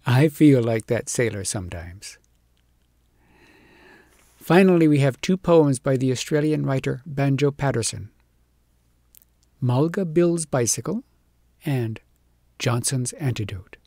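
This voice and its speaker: Husky, animated man reciting humorous verse. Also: medium pitch, dry recording, studio quality. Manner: theatrical, animated delivery with dramatic pauses. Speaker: storyteller reading poems aloud. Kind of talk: expressive comic poetry recitation.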